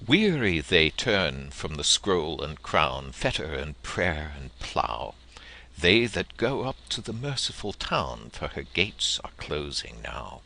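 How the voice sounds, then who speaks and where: A man reading literary prose and verse aloud as an audiobook narrator, with theatrical, deliberate phrasing. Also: very deep voice, big pitch swings, creaky, pushed and bright.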